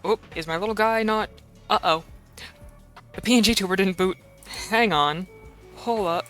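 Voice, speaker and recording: A slightly hoarse woman streamer in casual live-stream chat, rambling and playful as she talks to her chat. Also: medium pitch, moderate pace, a bit bright, faint music behind.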